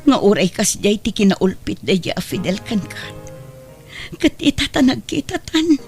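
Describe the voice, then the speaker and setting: Casting: wronged yet forgiving mother, medium-pitched, emotional and measured, radio drama over faint music. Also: sing-song, a little mellow. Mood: tender, grateful. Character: long-wronged mother, forgiving and tender toward her son. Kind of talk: Ilocano radio drama acting.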